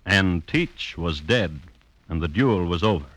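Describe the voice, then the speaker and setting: An actor playing a dry, deadpan crime chronicler narrating a 1950s radio show with measured storytelling. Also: male voice, sits low, dry recording. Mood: droll, deadpan. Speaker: host and narrator. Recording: hissy old radio broadcast.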